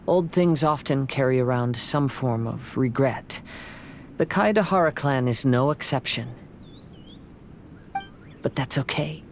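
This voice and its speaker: An actor playing a serene young wandering samurai poet in a game, speaking gently in a measured, poetic way. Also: male voice, fairly high pitch, slight background noise. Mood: calm.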